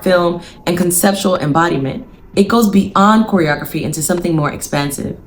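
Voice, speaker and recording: A woman's voice: lowish voice, slight background noise. A young woman, a dancer explaining choreography in a casual, slangy scripted video with punchy lists. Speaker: dancer and commentator.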